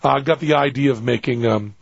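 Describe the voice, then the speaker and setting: A man with a Western Massachusetts accent hosting a radio show, telling stories in lively, animated bursts. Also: mid-range voice, fairly quick, vocal fry, no room sound. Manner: animated storyteller, acts out quotes. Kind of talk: casual radio chat. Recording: dull radio audio.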